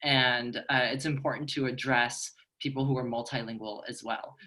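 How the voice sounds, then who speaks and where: A man with a bright, forceful voice at an ordinary pitch moderates a panel. He talks off the cuff, articulate and earnest, often pausing to find the next phrase. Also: steady tone. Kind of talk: panel discussion, speaking off the cuff.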